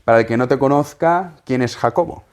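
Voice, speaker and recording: A man's voice: medium pitch, brisk. A man with a Spanish accent from Spain hosting a political interview, putting questions to his guest. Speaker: interviewer and host.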